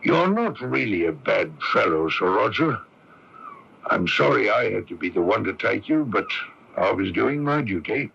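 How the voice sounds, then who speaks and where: An actor in a radio drama playing a stern, dutiful police constable who questions with formal courtesy. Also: male voice, on the low side, sing-song, gravelly, a bit bright, slightly rough, full character voice. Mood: apologetic, grave. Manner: deliberate, formally polite interrogation. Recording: lo-fi radio broadcast tape with hiss.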